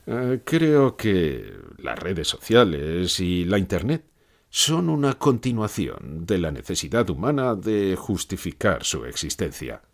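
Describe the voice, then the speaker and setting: A man's voice: sits low, sing-song, takes it slowly, creaky, exaggerated voice. A man playing a gloomy old philosopher who gives grave, pessimistic answers in a scripted interview.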